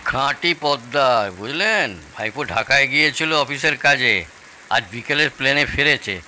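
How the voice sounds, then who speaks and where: An actor playing a proud, excitable host in his sixties in an audio drama, theatrical, boastful and needling. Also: medium pitch, moderate pace, a little hiss or hum. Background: rain.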